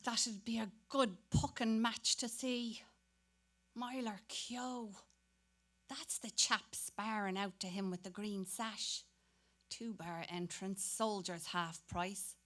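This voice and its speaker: A woman's voice: mid-range voice, slow pace, a bit bright. An Irish woman playing a grumbling, daydreaming Dublin schoolboy in a dramatic reading, talking in slangy, colloquial monologue. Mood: cheeky daydreaming.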